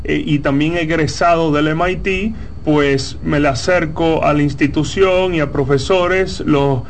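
Dominican man, slightly high voice. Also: slight background noise. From Dominican Republic. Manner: measured, explanatory, frequent "eh" fillers. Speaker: company founder, guest. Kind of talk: interview answers.

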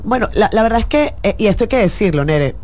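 A woman's voice: medium pitch, expressive, fast talker, a little mellow. Earnest woman journalist. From Venezuela. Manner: earnest, detailed, speaks with feeling. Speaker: journalist guest. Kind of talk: talk-show interview. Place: studio.

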